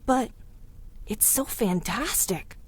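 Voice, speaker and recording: Plucky, lively-voiced 1930s gal. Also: female voice, mid-range voice, slow pace, slightly rough. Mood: curious, impressed. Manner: spirited, slangy old-time talk. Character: plucky, warm-hearted young city woman, stubborn and curious. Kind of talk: 1930s pulp radio drama acting.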